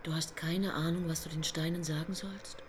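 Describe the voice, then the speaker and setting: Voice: mid-range voice, fairly even intonation, normal speed, noisy background, close to an everyday voice. A performer in a German radio play acting a small, crazy, ragged angel who needles and commands. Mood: taunting.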